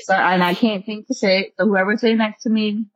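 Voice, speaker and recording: A woman's voice: medium pitch, fairly even intonation, brisk, no room sound, lo-fi sound. A young adult married woman co-hosting a podcast, chatting easily and saying "you know" a lot. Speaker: podcast co-host. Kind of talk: casual podcast chat.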